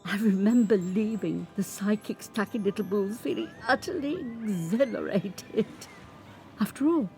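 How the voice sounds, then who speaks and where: An actress playing an 85-year-old grandmother from Birmingham, who looks back on her life in a measured, unhurried monologue. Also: mid-range voice, a little mellow, natural voice. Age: older. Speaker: narrator. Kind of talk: scripted dramatic monologue.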